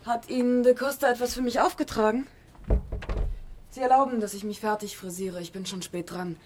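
A woman's voice: a little high, fairly even intonation, fairly quick, a little mellow, slight background noise, lightly acted. An actress in a German radio play playing a cool, impatient woman with an offhand, politely dismissive manner. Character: cool, impatient woman receiving an unwelcome visitor.

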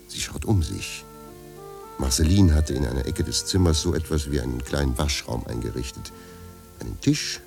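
A man reading wry scripted narration for a radio play in a gravelly voice. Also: a bass voice.

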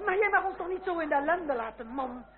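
A woman's voice: high-pitched, expressive, quick pace. A woman playing a helpless, fretful aristocratic lady in an old radio drama, theatrical and exclamatory in her distress. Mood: shocked. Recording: tinny old radio recording, loud noise.

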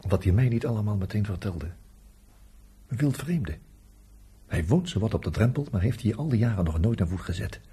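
A gruff, distrustful man in a radio drama. His voice sits low and is very husky. He talks in a sceptical grumble. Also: expressive, moderate pace, long pauses, mellow. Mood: grumbling, suspicious. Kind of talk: Dutch radio play acting.

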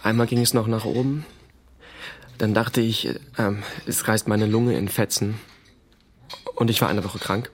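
A man's voice: fairly low pitch, fairly even intonation, measured pace, long pauses, slight background noise, close to an everyday voice. An actor playing a sullen, insecure teenage boy in a naturalistic radio drama, speaking in a hesitant, colloquial way. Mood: tense recounting. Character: sullen, insecure fourteen-year-old boy, younger brother of a soldier.

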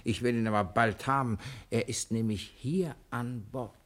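A man's voice: medium pitch, steady tone, lightly acted. An actor playing a cool, self-assured man posing as a private detective in a 1960 German radio play. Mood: confident, conspiratorial. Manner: brisk, polite, self-assured.

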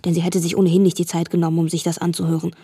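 An actress playing a nervous, watchful girl, narrating a radio drama in measured present tense with a husky voice. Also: on the low side, flat delivery, dark tone. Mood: watchful, uneasy.

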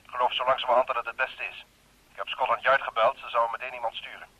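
A man's voice: mid-range voice, little pitch movement, fast, sharp tone, husky, dry recording, poor audio. An actor playing a worried, polite male detective's client in a radio drama. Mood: anxious, polite.

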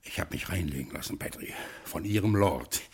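A boastful racketeer swings between bluster and stammering fear. His voice is deep and husky. The performance belongs to a 1950s radio crime play. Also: a little fast, full character voice. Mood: fearful whisper. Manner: stammering, boastful then fearful, drops to whispers. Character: blustering rich gangster racketeer, nervous and boastful.

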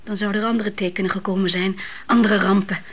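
Woman acting husky, devout, grieving old mother. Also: medium pitch, steady tone, quick pace, close to an everyday voice. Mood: devout, firm. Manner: solemn, sorrowful, firm. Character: grieving, devout old widowed mother, stern and unyielding in faith. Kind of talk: radio play dialogue.

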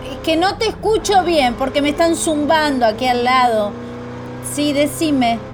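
Sleepy young stage actress, Argentine accent, evasive, high pitch, radio drama, noisy road background. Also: female voice, a little slow. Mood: upset. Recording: noisy vehicle ambience.

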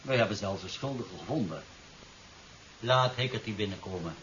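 A man acts out a domineering male official in a rather low voice. His delivery is formal, assured and condescending. The sound is a muffled 1970s radio drama with faint hiss. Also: animated, moderate pace, natural voice. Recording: dull, hissy 1970s radio recording.

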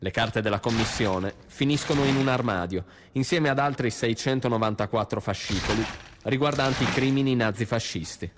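A man's voice: medium pitch, moderate pace, noisy background. A man gives a dramatic literary reading in a solemn, rhythmic, declamatory way. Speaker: reader in a music and spoken-word show. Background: live guitar band music.

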